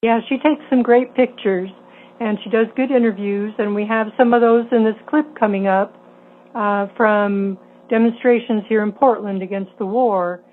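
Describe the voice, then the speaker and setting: A woman's voice: mid-range voice, fairly even intonation, moderate pace. Woman host on a tinny line. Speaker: show host. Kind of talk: introducing clips. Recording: tinny phone-like line, faint noise.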